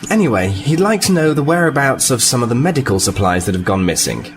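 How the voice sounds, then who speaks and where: A man's voice: mid-range voice, sing-song, fast, over music. A man playing a sardonic starship crewman in a sci-fi audio drama.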